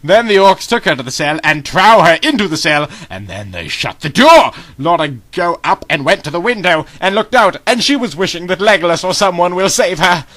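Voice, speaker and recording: A man's voice: high voice, big pitch swings, normal speed, hardly any pauses, pushed and bright, no echo. A man narrating a fantasy story in an amateur, simple run-on way, stressing each change of scene.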